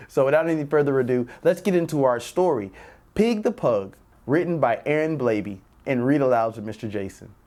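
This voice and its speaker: A man hosting a children's read-aloud, addressing young viewers directly with drawn-out emphasis. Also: mid-range voice, lively intonation, a little mellow. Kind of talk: presenting and reading a children's picture book.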